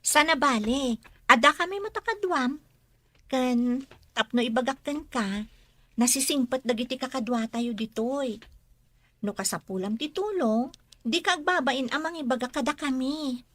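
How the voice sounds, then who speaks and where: A woman's voice: high-pitched, normal speed, pauses often, fairly bright tone, no echo. An actress playing a kind young woman in a radio drama, friendly and reassuring. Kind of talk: Ilocano radio drama acting.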